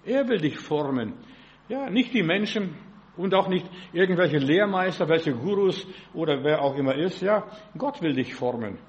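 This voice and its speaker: A man's voice: fairly high pitch, animated, moderate pace, dull audio. A man in his late seventies from the former Soviet Union preaching a sermon in an exhorting, repetitive manner, as a with frequent short affirming tags.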